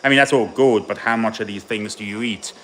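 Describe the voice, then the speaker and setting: A man's voice: fairly low pitch, fairly even intonation, brisk, a bit bright, a little hiss or hum, low-quality recording. A man born in Tennessee and raised in Ireland, a bodybuilding coach chatting casually with his live-stream audience between sets at the gym. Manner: chatty, rambling, answers chat while training.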